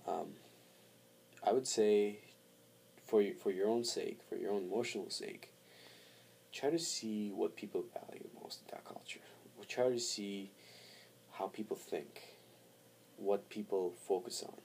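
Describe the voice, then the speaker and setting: A man talking casually to camera as a vlogger, halting as he thinks aloud. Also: fairly low pitch, creaky, a little mellow, slight background noise, rough recording. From born in Montreal, Canada, raised in Armenia from four to seventeen. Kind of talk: casual personal talk to camera.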